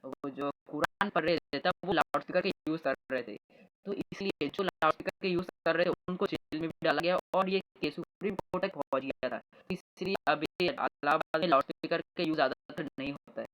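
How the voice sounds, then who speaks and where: A man with a fairly high-pitched voice and an Indian accent presents a news update. He explains things informally, moving between Hindi and English with a halting, unpolished delivery. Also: a bit bright, low-quality recording. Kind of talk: explanatory news update, reading and paraphrasing reports.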